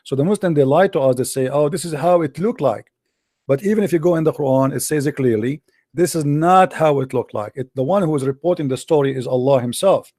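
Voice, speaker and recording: A man whose first language is Arabic, hosting a call-in debate, arguing insistently and slowing down to read scripture aloud. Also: fairly high pitch, dark tone, no echo. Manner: insistent, argumentative, repeats "no, no". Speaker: host.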